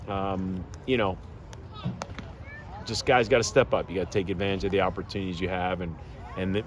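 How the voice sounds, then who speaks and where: Deep-voiced coach at an outdoor ballpark. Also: male voice. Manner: measured, plainspoken, frequent fillers and restarts. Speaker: head baseball coach. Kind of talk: postgame media interview. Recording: outdoor background noise.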